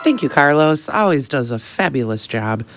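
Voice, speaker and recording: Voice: mid-range voice, lively intonation, normal speed, over loud music. A radio host chatting casually on air, bubbly and joking, tripping over their own words. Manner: bubbly, joking, self-correcting. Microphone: studio microphone.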